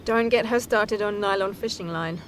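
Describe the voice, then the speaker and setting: A woman's voice: medium pitch, normal speed, slight background noise, lightly acted. An actress playing a restless young woman graduate, wry and challenging, in naturalistic audio drama. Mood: wry, suspicious. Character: restless young female graduate back home, wry and questioning. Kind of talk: naturalistic audio play dialogue.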